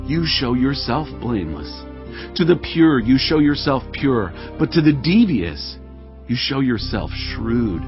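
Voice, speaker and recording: A devout warrior king declaims a psalm of praise with fervor and solemnity. His pitch moves widely through the verses. The recording is slightly dull, with soft music underneath. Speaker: Bible voice actor. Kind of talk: dramatized scripture reading. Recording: dull audio with music behind.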